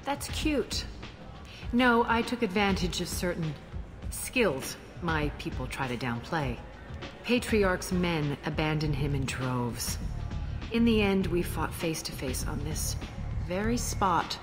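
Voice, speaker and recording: A cold, cynical alien crime queen speaks slowly and with calm menace. Her voice sits a little low. Game score plays underneath. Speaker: ruler of the station. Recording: game cutscene with music behind.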